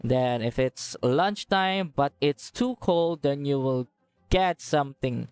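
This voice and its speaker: A woman game streamer with a low, smooth voice. She comments casually and slowly, hesitating as she thinks aloud. The sound is dry, with soft game music behind her. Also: no room sound. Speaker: game livestreamer. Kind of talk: casual live commentary over gameplay.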